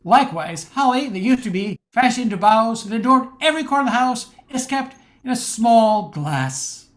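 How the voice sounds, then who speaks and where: A woman's voice: on the high side, lively intonation, normal speed. A woman reading scripted narration as the storyteller of a radio play.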